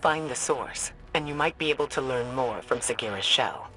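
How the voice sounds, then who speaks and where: A man playing a thoughtful commander in a video game, giving a briefing in a measured, serious, deliberate way. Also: medium pitch, lively intonation, sharp tone, faint music behind, rough recording. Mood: focused, instructive.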